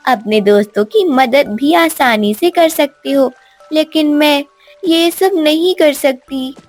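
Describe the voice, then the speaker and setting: A teasing young cartoon mermaid, acted by a woman. Her voice sits high, scolding and exclaiming with a wistful streak, and music plays behind it. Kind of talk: cartoon storybook voice acting.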